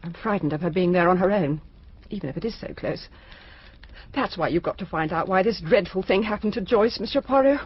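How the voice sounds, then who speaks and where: A slightly muffled radio drama recording of a genteel British mother. Her husky voice rises and falls expressively as she plays the polite, anxious hostess. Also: fairly low pitch, expressive, brisk. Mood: worried.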